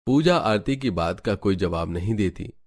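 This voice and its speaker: A man's voice: lowish voice, animated, normal speed, darkish tone. A man reading scripted narration for a cartoon family story.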